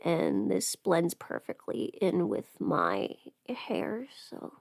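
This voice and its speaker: A woman's voice: on the low side, wildly swooping pitch, takes it slowly, vocal fry, no room sound, clean studio sound. A woman chatting casually on a livestream while busy with her makeup, rambling and trailing off as if half talking to herself. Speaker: livestream host.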